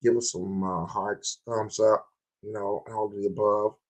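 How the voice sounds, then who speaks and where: A man hosting a live-streamed podcast, his voice hoarse and raspy, opening with loud hype before settling into easygoing, rambling chat. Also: fairly low pitch, steady tone, normal speed. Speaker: podcast host.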